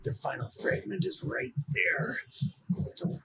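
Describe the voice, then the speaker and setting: A man's voice: unhurried, bright, forceful tone. A man voicing a confident grandmaster of knights in a video game, hearty and commanding but informal. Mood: rallying, confident.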